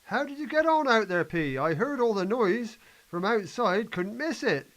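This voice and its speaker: Man acting an upbeat, high-voiced political adviser. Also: animated, normal speed, a bit bright. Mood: cheery. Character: cheery, idealistic adviser to the Prime Minister. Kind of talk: amateur play dialogue.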